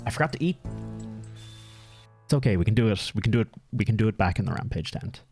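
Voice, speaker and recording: A low-voiced Irish man chats away loosely while streaming a game, joking at his own expense and cursing casually. Game music plays clearly underneath his talk. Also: expressive, fast, darkish tone. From Ireland. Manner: chatty, self-deprecating, casual cursing. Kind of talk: casual live-stream chat while gaming. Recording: music playing behind.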